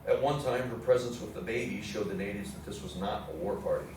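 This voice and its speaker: Male narrator for historical readers theatre, lowish voice, heavily reverberant room, distant pickup. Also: quick pace. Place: indoor theatre room with audience program. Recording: echoey hall, distant mic, faint noise.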